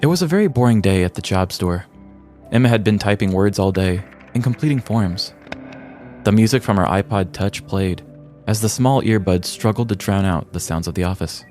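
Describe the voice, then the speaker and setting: A man's voice: fairly low pitch, normal speed, a little mellow, soft background music. An American man hosting a podcast, reading a scripted comedic story with arch, theatrical mock-seriousness. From United States. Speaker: podcast host.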